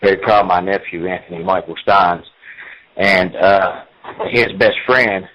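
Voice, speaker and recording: A man's voice: low voice, measured pace, dull audio. A man in his forties, a professional wrestler, talking at length in an interview, repeating himself as he tells his story. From United States. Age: 40s.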